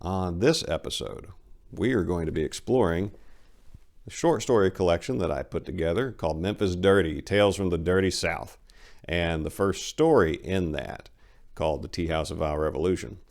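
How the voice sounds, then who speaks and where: A man's voice: low-pitched, moderate pace, creaky, a little mellow. A man hosting and narrating his own short stories in a dramatic, scripted reading style. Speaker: host, author and narrator.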